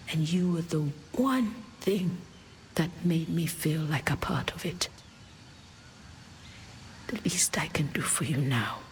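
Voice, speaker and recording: An actress playing a weary, motherly immortal mentor in a video game cutscene, grave and tender, at times hushed. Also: low-pitched, slight background noise. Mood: sad farewell.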